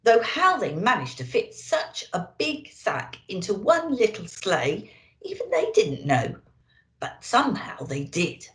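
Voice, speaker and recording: A woman's voice: medium pitch, big pitch swings, unhurried. A woman reading a children's story expressively, as if talking to young listeners. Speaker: storyteller in character as an elf. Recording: roomy echo.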